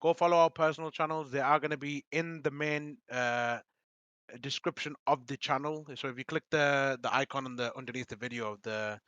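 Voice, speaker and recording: Young guy hosting a podcast, bright voice, hoarse-ish from talking so much, dry mic. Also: mid-range voice, steady tone, brisk, dry recording, lo-fi sound. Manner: rambling, laughing, repeats promotions. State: losing his voice. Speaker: podcast host. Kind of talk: casual podcast chat.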